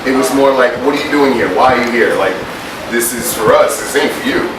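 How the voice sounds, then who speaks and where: A man with a deep voice, a guest artist speaking earnestly at a screening Q&A. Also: fairly quick. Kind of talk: casual Q&A talk. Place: screening room. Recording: echoey hall from far off with loud background noise.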